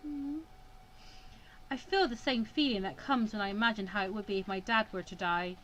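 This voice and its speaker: A woman's voice: medium pitch, moderate pace, fairly bright tone, a little hiss or hum, low-quality recording. A woman playing a visual novel, reading it aloud expressively with casual reactions and the odd stumble over words.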